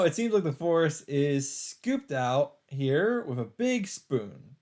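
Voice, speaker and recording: A man's voice: mid-range voice, darkish tone. A man reading a visual novel's narration aloud as a let's player. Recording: music playing behind the voice. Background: game background music.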